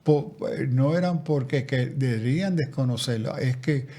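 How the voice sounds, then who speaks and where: A man's voice: mid-range voice, a little slow, warm tone. A man speaking as a guest theologian in a conversational interview, reflective, often restarting and repeating words. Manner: reflective, with frequent restarts and repetitions.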